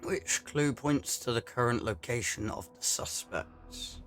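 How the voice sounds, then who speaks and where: A man giving casual, low-key commentary on a video game as he plays, often muttering to himself. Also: a little slow, a bit bright. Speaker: gaming YouTuber. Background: game music.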